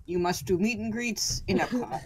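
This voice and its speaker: A woman's voice: mid-range voice, moderate pace, husky, lightly acted. A woman voicing a casual, bossy elderly cartoon emperor in an offhand way, improvised for a comedy fan dub.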